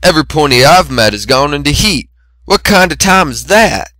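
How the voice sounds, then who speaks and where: A man's voice: a little high, lively intonation, normal speed, sharp tone, no echo, voice pushed up. A man voicing a big male farm pony in a story, flustered, exasperated and pleading. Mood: bewildered, snarling.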